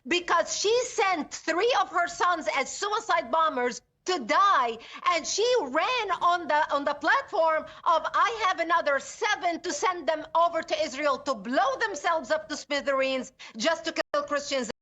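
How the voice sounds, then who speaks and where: A woman from Lebanon, a guest analyst in a news interview, speaking with forceful indignation and emphatic repetition. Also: very high-pitched, lively intonation, unhurried, few pauses, highs cut off.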